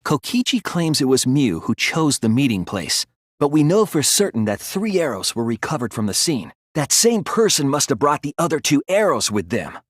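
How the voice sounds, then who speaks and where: A man playing an earnest, analytical young male detective in an English video game dub, reasoning deliberately and articulately. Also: mid-range voice, sing-song, no room sound, studio quality. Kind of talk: English game dub, dramatic courtroom deduction.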